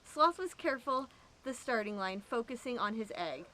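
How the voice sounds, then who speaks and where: A woman reading a children's picture book aloud, with expressive, drawn-out emphasis. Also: fairly high pitch, a little hiss or hum. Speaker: storyteller at an animal sanctuary.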